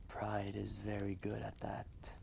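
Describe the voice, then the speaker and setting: An ancient dragon, voiced by a man, speaks low and very slowly in a deep crackling growl. He is wise, gentle and fatherly, murmuring his tale in intimate, hushed roleplay storytelling. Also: completely flat delivery, full character voice. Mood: reflective, solemn. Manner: hushed, breathy, measured, with long pauses.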